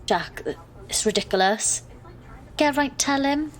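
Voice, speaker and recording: A woman's voice: high-pitched, unhurried. A woman acting in an audio drama as an exasperated, sarcastic, sceptical young best friend. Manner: dry, sarcastic. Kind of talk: audio drama dialogue and first-person narration.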